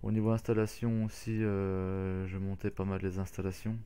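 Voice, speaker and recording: A man's voice: low-pitched, monotone, moderate pace, warm tone, clean tone. A man hosting a casual game walkthrough, talking hesitantly with many fillers and restarts. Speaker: gaming channel host.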